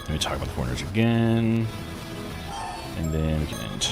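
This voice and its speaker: A man's voice: a bass voice, very animated, a little fast. A man live streaming a visual novel, rambling and thinking aloud in casual commentary. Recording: game music behind voice. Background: video game music.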